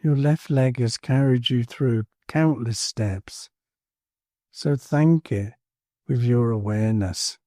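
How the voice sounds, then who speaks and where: A man leading a guided meditation in a calm, soothing manner, leaving space between short phrases. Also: medium pitch, takes it slowly, no echo, clean studio sound. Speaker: meditation teacher. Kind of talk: guided body scan meditation.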